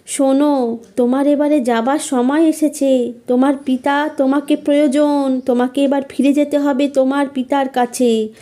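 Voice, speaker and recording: A woman voicing a kindly fairy in a storybook tale, gently reassuring and guiding. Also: high-pitched, steady tone, normal speed, close to an everyday voice. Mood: gentle, guiding. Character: kindly, reassuring female salt fairy with magic.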